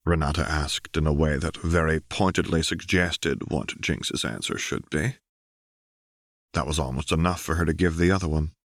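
A man's voice: a bass voice, normal speed, no echo, clean studio sound. A man reading scripted fiction aloud as an audiobook narrator.

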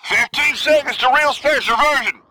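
A man voicing a brisk starship crew member, clipped and urgent through a radio comm filter. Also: extremely high pitch, bright, forceful tone, no room sound, voice pushed up, heavily acted, poor audio. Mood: urgent countdown.

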